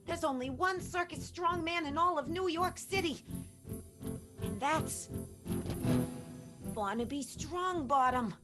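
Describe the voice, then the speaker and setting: A woman's voice: extremely high pitch, lively intonation, slow pace, long pauses, over loud music, full character voice. A woman playing a naive, fretful werewolf's pupil in an improvised radio comedy, wide-eyed and hesitant. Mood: anxious wonder. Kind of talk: improvised radio play acting.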